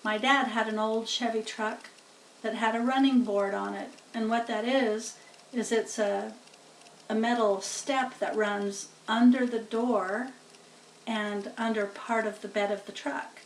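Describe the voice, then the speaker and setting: A woman's voice: on the high side, steady tone, a little slow, slight background noise. A woman reading a children's story aloud, narrating plainly and chatting to explain things as she goes. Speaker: storybook reader. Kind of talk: slow read-aloud narration with casual explanatory asides. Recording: roomy, distant-sounding home recording.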